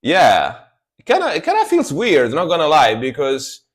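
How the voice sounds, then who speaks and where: A man's voice: a little high, huge pitch swings, normal speed. A man in his thirties with an Italian accent, a native Italian speaker, chatting casually as a livestream host in a rambling way, often repeating his phrases. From Italy.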